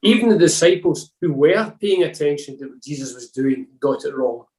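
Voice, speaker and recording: Mellow-voiced man reading in an echoey room. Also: on the high side, normal speed. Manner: calm, earnest reading. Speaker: devotional reader. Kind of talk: scripted church reflection reading. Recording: roomy echo.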